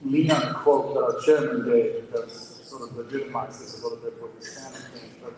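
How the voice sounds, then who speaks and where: A man's voice: mid-range voice, fairly even intonation, fairly quick, noisy background. Swedish man on a panel, echoey hall. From Sweden. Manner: earnest, hesitant with fillers. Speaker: company sustainability executive, panelist. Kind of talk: panel discussion on stage. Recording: distant mic in an echoey hall with crowd.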